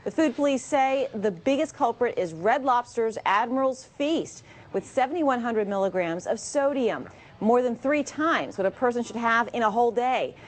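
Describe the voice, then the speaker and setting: A woman anchoring local TV news, reading stories in a crisp, even newsreader delivery from the studio. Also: on the high side, normal speed. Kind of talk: read local TV news copy.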